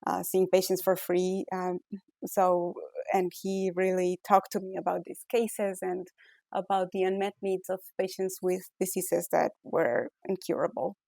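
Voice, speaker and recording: A woman's voice: lowish voice, flat delivery, unhurried, creaky, a little mellow, dry recording. A middle-aged woman from Ecuador with an Ecuadorian Spanish accent, a physician scientist answering interview questions thoughtfully with many fillers and restarts.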